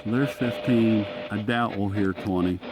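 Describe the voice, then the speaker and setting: A man's voice: fairly low pitch, unhurried. A man casually demonstrating a gadget hands-on, thinking aloud in a folksy, informal way. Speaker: radio reviewer and host. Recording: clear static and radio noise behind.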